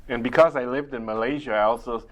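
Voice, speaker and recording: A middle-aged man whose first language is Mandarin Chinese, working as an immigration attorney and answering interview questions in a measured way, with many pauses to think. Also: mid-range voice, steady tone. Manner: measured, explains step by step with frequent uh pauses. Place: community TV studio.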